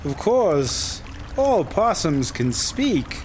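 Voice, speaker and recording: A solemn, slow-talking possum elder. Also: male voice, fairly high pitch, very animated, darkish tone, a little hiss or hum, exaggerated voice. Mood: dignified, firm. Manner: formal, ceremonious, pompous. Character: solemn male possum elder of an underground sect. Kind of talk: sci-fi comedy audio drama acting. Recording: music behind the voice.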